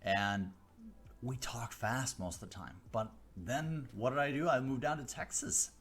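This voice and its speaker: A man from the Chicago area, a mentalist hosting a live stream, chatting casually with viewers on a close studio mic, rambling with fillers and restarts but slowing down with deliberate pauses. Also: medium pitch, a bit bright. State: voice deeper than usual today. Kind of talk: casual chat answering viewer questions. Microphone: close studio microphone.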